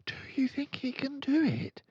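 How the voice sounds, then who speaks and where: A man voicing a watchful young schoolgirl in a storybook, in a secretive hush. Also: very high voice, sing-song, normal speed, dry recording, lo-fi sound, voice pushed up. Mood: hushed, fascinated. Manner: hushed whispering.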